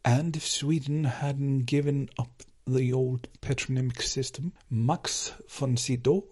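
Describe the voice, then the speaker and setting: A man with an Icelandic accent hosting a folklore podcast, narrating from a script in a measured, lightly wry way. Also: medium pitch, steady tone, darkish tone.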